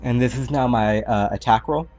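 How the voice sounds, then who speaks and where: Mid-pitched man, slightly dull game-session audio. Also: moderate pace, over music. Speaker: player. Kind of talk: casual tabletop game talk.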